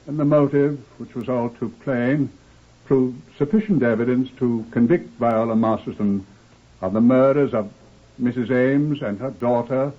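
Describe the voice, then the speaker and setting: Actor as male crime narrator, grave, formal, medium pitch, narrow vintage radio recording. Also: lightly acted. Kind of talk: scripted old-time radio crime narration. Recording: tinny old radio recording.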